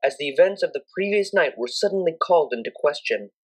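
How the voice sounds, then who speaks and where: Expressive woman narrator with lively, swooping pitch. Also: quick pace, husky. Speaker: audiobook narrator. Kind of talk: expressive scripted story narration.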